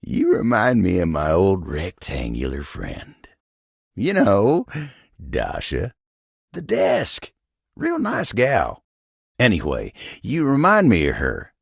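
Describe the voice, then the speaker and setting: An actor voicing a kindly, humble, hardworking talking wooden table in a video game, with a gravelly, husky voice and a folksy Southern American country drawl. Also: male voice, low-pitched, huge pitch swings, slow, no echo, clean studio sound, exaggerated voice. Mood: bashful. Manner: folksy, self-effacing, hesitant. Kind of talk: video game character voice acting.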